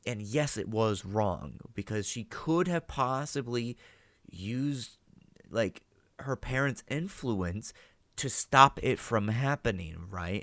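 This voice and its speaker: Male reaction host, mid-pitched, dry close recording; loose, analytical commentary, thinks aloud, casual swearing. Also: a bit bright, no room sound. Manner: ends statements with "right?" as a check.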